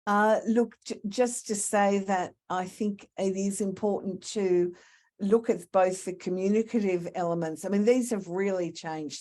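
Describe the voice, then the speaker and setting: A woman's voice: lowish voice, darkish tone. A woman, speaking thoughtfully as a panel speaker, with many fillers and restarts. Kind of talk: panel discussion answer.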